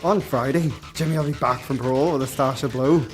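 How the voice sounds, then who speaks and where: A man's voice: medium pitch, fast talker, natural voice. A scheming prisoner from a radio play, acted by a man. The monologue runs over faint music. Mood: matter-of-fact. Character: scheming prisoner trading treats for drugs.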